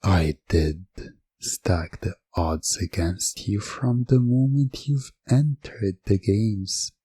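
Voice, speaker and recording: Male hypnosis ASMR narrator: low, mellow, extremely slow and deliberate, quietly commanding. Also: breathy edge, dry recording. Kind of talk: hypnosis ASMR, scripted second-person storytelling.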